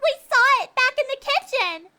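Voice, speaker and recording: A woman's voice: extremely high pitch, lively intonation, normal speed, sharp tone, voice pushed up, full character voice. A woman voicing a doubtful, fretful young filly pony in a story reading. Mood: doubtful, grumbling.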